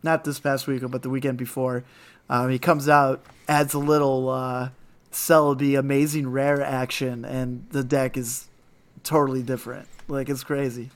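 Hoarse, flat-toned male co-host. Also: mid-range voice, moderate pace. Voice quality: raspy. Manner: rambling, many fillers. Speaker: podcast co-host. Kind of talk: casual card game podcast talk.

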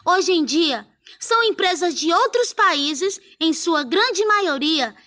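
A woman from Pará in Brazil talks to children on a radio program. Her voice sits very high and sounds bright and forceful. She explains things in a lively, friendly way. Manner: lively, speaking warmly to children. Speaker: radio guest presenter.

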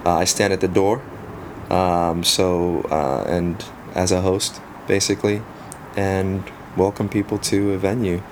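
A man in his thirties with a South African accent, a native Afrikaans speaker, talking casually as an interview guest with relaxed pauses and frequent ums. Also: low-pitched, background noise. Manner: relaxed, frequent ums, self-deprecating humor. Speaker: guest, photographer.

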